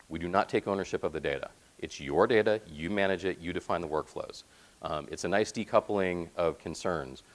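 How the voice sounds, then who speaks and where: A man with a deep, resonant voice presenting technical slides at a conference, explaining things in a plain, informal way. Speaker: conference presenter, software platform team member. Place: presentation room, speaking to slides.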